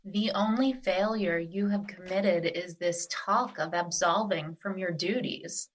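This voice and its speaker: A woman playing a wise, nurturing earth spirit in an audio drama, speaking in a formal, measured, reassuring way. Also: on the low side, rough recording. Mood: gently reproving. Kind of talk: theatrical audio drama acting.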